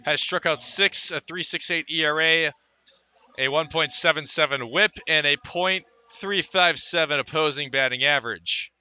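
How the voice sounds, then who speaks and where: Bright, forceful male baseball announcer. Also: a little high, moderate pace. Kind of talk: live radio sports commentary.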